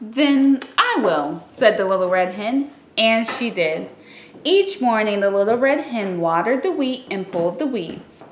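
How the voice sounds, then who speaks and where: A young woman reading a children's storybook aloud in an expressive, explanatory way, in a room at home. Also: mid-range voice, measured pace, roomy sound, slight background noise. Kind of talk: storybook read-aloud with casual asides. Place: small room at home, by a window.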